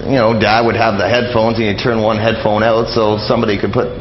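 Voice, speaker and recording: A man telling anecdotes casually as an interview guest, in a rambling, halting way. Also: on the low side, little pitch movement, slight background noise. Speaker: interview guest, old-time radio enthusiast. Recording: roomy, slightly dull radio recording.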